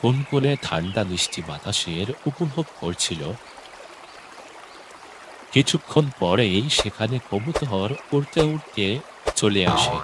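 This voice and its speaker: Man, children's story narrator, unhurried, swooping intonation, slight background noise. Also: lowish voice.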